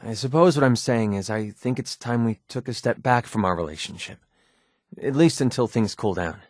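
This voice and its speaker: An actor playing a guarded, wounded mercenary in a video game, sarcastic and quick-tempered but loyal, speaking in a plain, earnest, edgy way. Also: male voice, mid-range voice, dry recording, studio quality, natural voice. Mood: earnest, troubled. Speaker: companion character in a video game. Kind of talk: naturalistic video game voice acting.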